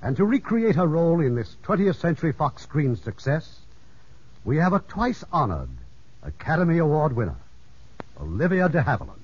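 A man's voice: a little high, sing-song, moderate pace, a little mellow, slightly rough. A man hosting a 1950s radio show, introducing it in a formal showman's manner. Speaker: producer and host. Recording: muffled, scratchy 1950s radio broadcast.

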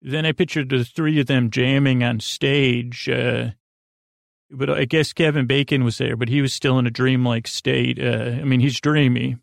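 Gravelly guy rambling on his sleep podcast, keeps losing his train of thought, dry sound. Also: medium pitch, fairly even intonation, moderate pace, dry recording. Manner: meandering, stammering, loses his train of thought. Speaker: podcast host. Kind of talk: rambling bedtime podcast monologue.

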